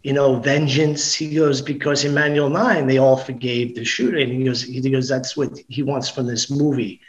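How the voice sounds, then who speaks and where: A man's voice: medium pitch, level tone, moderate pace, highs cut off. A middle-aged man, a guest actor and comedian, chatting casually about his projects in an upbeat way, often restarting his sentences. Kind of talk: casual podcast chat.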